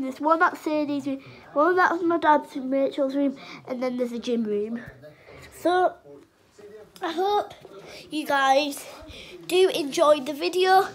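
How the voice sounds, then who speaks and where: A girl giving a hesitant, rambling house tour on a phone held at arm's length, with lots of ums. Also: high voice. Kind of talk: casual home vlog. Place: suburban house, indoors and garden. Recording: noisy phone recording at home.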